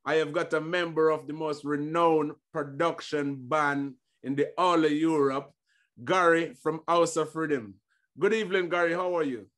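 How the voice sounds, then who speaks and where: A man's voice: on the high side, steady tone, moderate pace, a bit bright. Chatty male podcast host on a video call. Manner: friendly, chatty, lots of "you know what I mean". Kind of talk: casual interview chat. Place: home room with a banner behind him.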